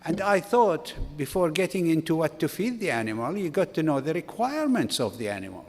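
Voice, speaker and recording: A man in his sixties, originally from Iraq, giving a slow, halting academic talk at a conference. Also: on the high side, sing-song, darkish tone. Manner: slow, halting delivery with restarts. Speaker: conference speaker. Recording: long reverb of a conference hall.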